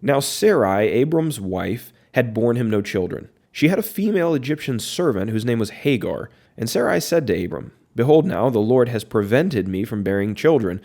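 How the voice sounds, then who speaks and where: A man hosting a Bible study podcast. His voice is hoarse from illness, and he reads scripture aloud and explains it in a plain, conversational tone. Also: mid-range voice, big pitch swings, moderate pace, few pauses, darkish tone.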